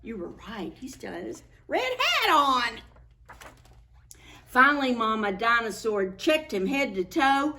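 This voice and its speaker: Lady librarian doing storytime for little kids, slow n sing-songy, voice goes up and down a lot. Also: fairly high pitch, slight background noise. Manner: sing-song, addressing children directly, expressive. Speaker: children's librarian hosting preschool story time. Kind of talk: read-aloud picture book storytelling for young children.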